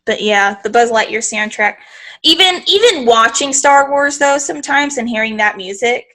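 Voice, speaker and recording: A young woman with a slightly nasal voice chats casually on a podcast, sounding a little congested from allergies. Her tone is bright and sits a touch high. She rambles and often cuts herself off to start over. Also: animated, normal speed. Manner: rambling, self-interrupting. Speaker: podcast co-host. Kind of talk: casual chat.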